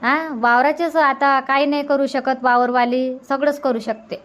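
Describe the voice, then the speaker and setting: A woman's voice: high-pitched, fairly even intonation, unhurried, fairly bright tone. A woman voicing a caring, practical village mother in a cartoon comedy, chatty and advising, with a rural Vidarbha Marathi accent. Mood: persuasive.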